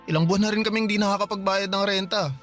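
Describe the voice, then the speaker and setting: A man's voice: high-pitched, steady tone, fast, a bit bright, soft background music. An actor playing a broke young man who pleads with his older sister in a radio drama, defensive and whiny. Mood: pleading. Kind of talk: Filipino radio drama acting.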